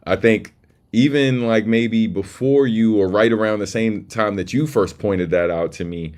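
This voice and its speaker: A man with a deep voice hosting a casual livestream chat, laid-back and slangy, full of shout-outs. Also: a little slow. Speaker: livestream host.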